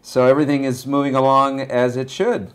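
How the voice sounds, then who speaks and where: A man in his forties from Argentina whose first language is Spanish, a director being interviewed, talking in a relaxed, measured way with frequent hesitations. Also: medium pitch. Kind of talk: casual interview talk. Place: small room indoors beside a window.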